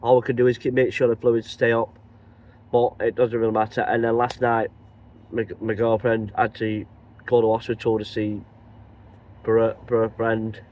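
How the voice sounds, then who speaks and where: Trucker guy with a cold, croaky, rambling chat in his cab, bit muffled, faint road hum. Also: medium pitch, a little mellow. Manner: rambling, chatty, many restarts. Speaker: truck driver vlogger. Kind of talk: casual vlog chat while driving.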